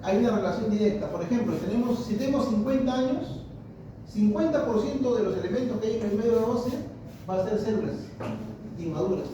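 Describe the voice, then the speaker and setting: A man's voice: high-pitched, fairly quick, far from the mic, background noise. A male histology professor lecturing a class, repeating and restarting phrases and asking students questions. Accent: Peruvian Spanish. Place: large echoey classroom. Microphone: distant room mic. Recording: echoey classroom recorded from afar.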